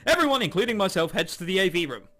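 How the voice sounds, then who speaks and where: Male game commentator, bright and high, incredulous and joking, music underneath. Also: very animated, fast. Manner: incredulous, jokey exclamations. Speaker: game streamer host. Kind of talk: commentary while reading a visual novel aloud. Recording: music playing behind. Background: game background music.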